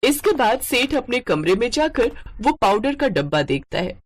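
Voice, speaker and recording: Expressive woman narrating a story. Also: on the high side, normal speed. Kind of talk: expressive scripted children's storytelling.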